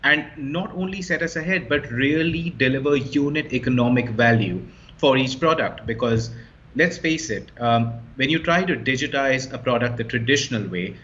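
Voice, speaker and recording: A man's voice: mid-range voice, normal speed, fairly bright tone. A man explaining his startup as an interview guest, fluent and confident, stressing key points. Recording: slightly dull lo-fi sound.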